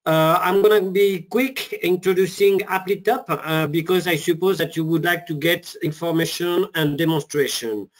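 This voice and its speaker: A man with a French accent presenting a software webinar, halting with many fillers. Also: a little high, fairly even intonation.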